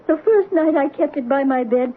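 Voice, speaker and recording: An actress playing a kind, widowed mother in an old radio drama, gentle in manner with nervous little laughs. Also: high voice, lively intonation, moderate pace, phone-quality sound. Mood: gentle, anxious. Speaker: Celia, a mother. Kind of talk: 1970s radio drama acting. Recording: old radio broadcast, phone-thin with hiss.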